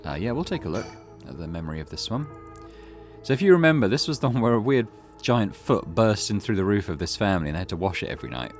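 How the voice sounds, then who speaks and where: A man's voice: lowish voice, sing-song, quick pace, faint music behind. A man hosting a let's play, mixing casual commentary with reading story text aloud.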